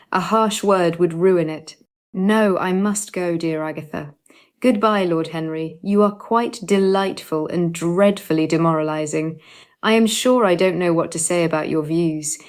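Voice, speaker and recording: A woman's voice: on the low side, natural voice. A woman acting a gushing, theatrical society Duchess. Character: airy, theatrical aristocratic Duchess, playfully scandalised. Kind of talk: audiobook character dialogue.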